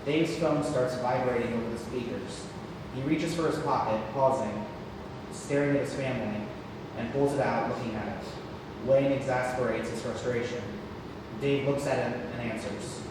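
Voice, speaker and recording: A man's voice: medium pitch, moderate pace. Man reading stage directions, very echoey. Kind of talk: scripted narration at a play read-through. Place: theatre room, far from the mic. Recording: distant echoey hall, noisy.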